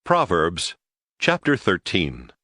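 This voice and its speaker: Male announcer for bible chapters, slow delivery, lowish voice, dry sound. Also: wildly swooping pitch, bright, forceful tone, no echo. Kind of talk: scripted audio Bible announcement.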